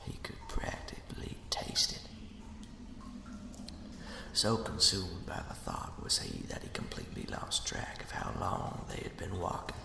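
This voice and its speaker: Whispering, gravelly man telling ghost stories. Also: deep voice, wildly swooping pitch. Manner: slow, hushed, dramatic storybook delivery. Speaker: storyteller. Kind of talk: whispered ASMR ghost story narration. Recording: echoey, long cavernous reverb.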